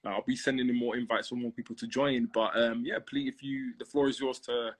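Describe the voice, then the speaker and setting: Fast-talking friendly male interviewer. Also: medium pitch, steady tone. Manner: friendly, eager, with many fillers. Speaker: live interviewer. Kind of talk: casual live interview chat. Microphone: video call on a phone.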